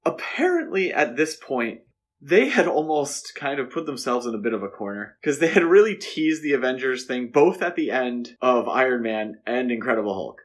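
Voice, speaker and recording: Male podcast co-host, average pitch, conversational and opinionated, casual film talk. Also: very animated, normal speed.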